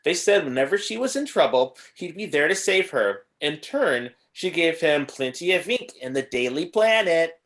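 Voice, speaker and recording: A woman's voice: sits low, big pitch swings, moderate pace, few pauses. A woman playing a sassy robot sidekick to a supervillain in a script read, with a sardonic manner. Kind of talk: amateur table read of a film script.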